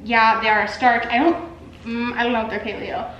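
A woman's voice: on the high side, steady tone, a little fast, fairly bright tone, lo-fi sound, slight background noise. A young woman in her twenties, chatting casually to camera at home with lots of filler words and busy hand gestures. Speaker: artist vlogger. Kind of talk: casual vlog chat. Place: home living room and kitchen, indoors. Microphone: camera on a stand. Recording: echoey room.

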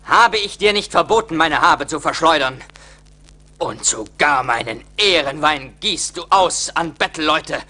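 Sharp, animated cruel husband. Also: male voice, a little high, a little slow, heavily acted. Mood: furious. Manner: harsh, imperious. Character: cruel, hard-hearted rich husband, hot-tempered and scornful. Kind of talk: 1980s radio play acting.

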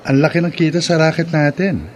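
A man's voice: fairly high pitch, fairly even intonation, fairly quick, darkish tone, a little hiss or hum, lightly acted. Earnest young Filipino man played by an actor. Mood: casual, curious. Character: earnest young male online content creator, devoted lover. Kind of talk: Filipino radio drama acting.

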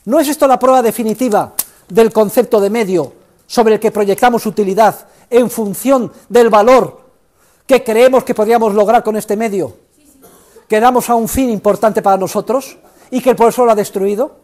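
Older professor, high-pitched, theatrical lecture voice in a big classroom. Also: male voice, normal speed. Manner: theatrical, emphatic, swings from shouting to low asides. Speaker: economics professor. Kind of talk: university lecture. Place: large university classroom.

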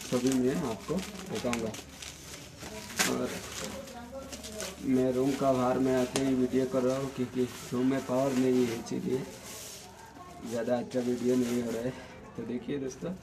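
A man's voice: mid-range voice, poor audio. A young man from India giving a casual commentary as he hosts a product unboxing. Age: young adult. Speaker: unboxing video host. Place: just outside a room at home, seated.

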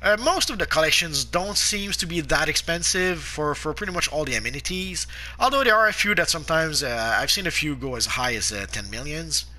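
A man's voice: on the high side, lively intonation, bright, forceful tone. A man with a sore throat and sniffles, casually talking through a game guide with many fillers and restarts. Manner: halting, frequent "uh" fillers and restarts. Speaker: gameplay guide narrator.

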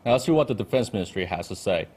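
A man's voice: lowish voice, animated, racing, darkish tone. A man in his thirties delivering a live news report as a correspondent from an indoor briefing room. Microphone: handheld-style reporter mic on desk.

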